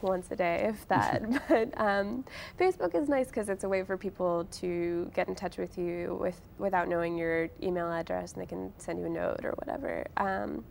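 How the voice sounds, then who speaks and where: A woman's voice: fairly low pitch, fairly quick, gravelly, hardly any pauses, darkish tone, slight background noise. A woman answering as an interview guest in an informal, conversational way, often breaking off and rephrasing. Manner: informal, frequent "like" and self-interruptions.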